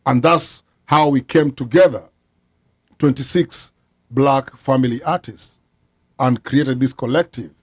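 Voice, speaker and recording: A man's voice: medium pitch, measured pace, slightly rough, dry recording, clean studio sound. A man, a musician guest talking earnestly in a casual interview. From Kenya. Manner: earnest, explains at length with frequent fillers.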